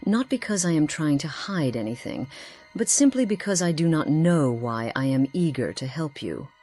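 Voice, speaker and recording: Low female voice, actress playing lonely ageless fantasy game woman, eloquent, courteous, measured, soft background music. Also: expressive. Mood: coy, probing. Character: long-lived, lonely, mysterious woman, courteous and thoughtful. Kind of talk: scripted fantasy game dialogue.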